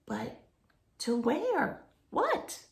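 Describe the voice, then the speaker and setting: Slow-paced woman reading a children's story. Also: very high voice, reverberant. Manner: explains to young listeners with simple questions and expressive emphasis. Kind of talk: read-aloud picture book storytelling with asides to children.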